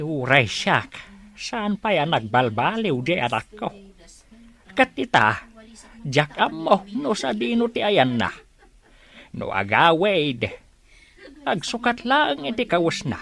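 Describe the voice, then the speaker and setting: Slow, fretful old village father. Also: high-pitched, very animated, creaky, fairly bright tone, exaggerated voice. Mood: exasperated, pleading. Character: old village father, fretful and prayerful over wayward son. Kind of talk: old radio drama acting in Ilocano.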